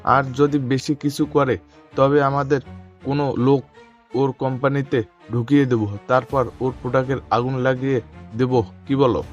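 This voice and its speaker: With music faintly behind, a man plays the grown son of a crooked tycoon in an audio drama. He sounds questioning and conspiratorial, in an ordinary male pitch. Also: flat delivery, normal speed, highs cut off, lightly acted. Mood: plotting. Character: anxious, scheming grown son of a villain tycoon. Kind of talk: audio story acting.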